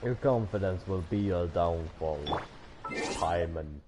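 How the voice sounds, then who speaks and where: A man streaming a game, chatting casually and thinking out loud in a rambling way. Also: low-pitched, slow pace, over loud music. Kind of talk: casual livestream chat while gaming.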